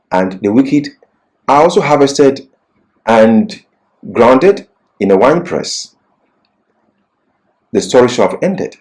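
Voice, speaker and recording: A man presenting an expository Bible lesson as a teacher, speaking in emphatic, deliberate short phrases. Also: lowish voice, a little mellow.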